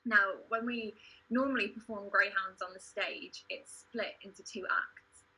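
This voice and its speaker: A woman's voice: medium pitch, fairly even intonation, measured pace, bright, forceful tone, breathy edge, rough recording. A woman, presenting a livestream in a casual, chatty explaining style. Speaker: livestream host, playwright and actor.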